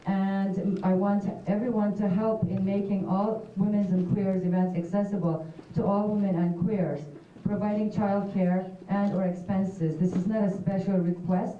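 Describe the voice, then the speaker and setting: A woman's voice: medium pitch, dark tone, slight background noise. Woman speaking earnestly in an echoey hall. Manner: earnest, direct. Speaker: festival audience speaker. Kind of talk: impassioned public address. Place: theatre. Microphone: stage microphone heard from a distance. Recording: distant, muffled echoey tape recording.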